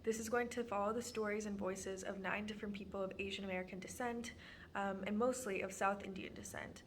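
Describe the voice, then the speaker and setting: A woman's voice: medium pitch, fairly even intonation, brisk, a little hiss or hum. A young woman from Texas introducing her student documentary in an earnest, prepared way with frequent ums. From Houston, Texas. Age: young adult.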